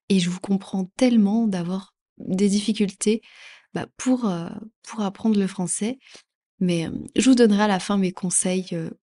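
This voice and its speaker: A woman's voice: medium pitch, darkish tone. A woman from France hosting a podcast as a French teacher, talking casually with many fillers and frequent laughs. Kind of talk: casual educational podcast talk.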